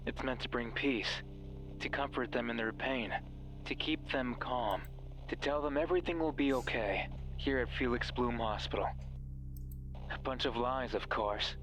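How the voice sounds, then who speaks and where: A man's voice: medium pitch, moderate pace, a bit bright, a little hiss or hum, close to an everyday voice, low-quality recording. An actor playing a mysterious, controlling male voice in a horror game, taunting, sardonic and condescending. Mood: sardonic storytelling. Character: mocking, cruel, controlling mysterious male voice on a radio.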